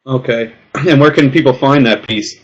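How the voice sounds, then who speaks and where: A man's voice: mid-range voice, moderate pace, a crowd in the background. A man hosting a casual panel discussion, asking long, wordy questions and teasing his guests. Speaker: podcast host. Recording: dull lo-fi sound.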